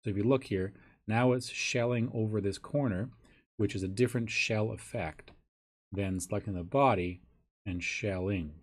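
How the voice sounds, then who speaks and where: Hoarse, husky man explaining software. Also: on the low side, normal speed. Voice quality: raspy. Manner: casual, thinks aloud with ums and restarts. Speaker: teacher. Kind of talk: screen-recorded software tutorial.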